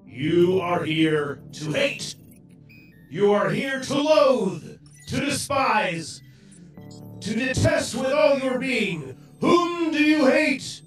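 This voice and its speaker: A woman playing a commanding, merciless telescreen of a totalitarian state in a radio drama, stern and declamatory through a loudspeaker effect. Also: high-pitched, very animated, drawn-out words, soft background music, full character voice. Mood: rabble-rousing hatred. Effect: echoing public-address loudspeaker. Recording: heavily echoing, distant loudspeaker sound.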